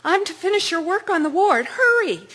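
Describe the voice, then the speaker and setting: A woman playing an urgent, fretful young hospital nurse in a radio drama, with a hurried, anxious manner. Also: very high voice, sing-song, fairly bright tone, full character voice. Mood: anxious urgency.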